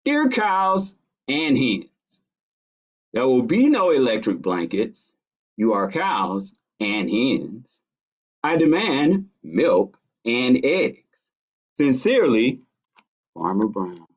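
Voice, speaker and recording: An exasperated storybook farmer, acted out by a man reading aloud, emphatic and scolding. He stretches his words slowly and his pitch swoops sharply with indignation. The audio is slightly dull. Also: big pitch swings. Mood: stern, demanding. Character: indignant, exasperated male farmer in a picture book.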